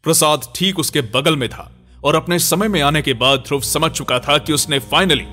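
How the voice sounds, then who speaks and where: Male horror-story narrator over loud music. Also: a little high, normal speed.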